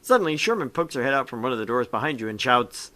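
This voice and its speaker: Streamer-narrator: casual read-aloud of a visual novel, mid-range voice, lively intonation, joking storyteller delivery. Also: mid-range voice, fast talker. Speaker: game show host and narrator.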